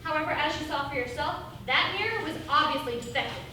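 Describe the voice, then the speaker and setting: A woman's voice: high voice, quick pace, natural voice. A woman playing a self-pitying, falsely sweet old witch with a melodramatic, genteel manner in a theatrical comedy. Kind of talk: theatrical stage comedy acting. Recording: distant, very echoey, noisy stage recording.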